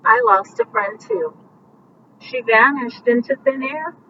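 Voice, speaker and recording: A woman voicing a gentle, lonely, friendly male goose from a storybook. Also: high-pitched, expressive, takes it slowly, voice pushed up. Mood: wistful, kindly. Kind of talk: storybook character reading. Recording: muffled, distant mic with faint background noise.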